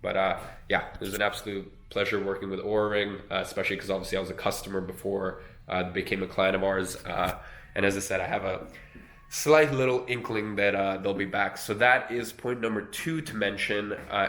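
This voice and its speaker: A man's voice: fairly low pitch, pushed and bright. A young man with a cold and a nasal voice, talking casually to camera about his agency with lots of fillers and restarts. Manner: conversational, many fillers and restarts. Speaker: agency owner and online business educator. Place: room at a desk with an editing screen. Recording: echoey room.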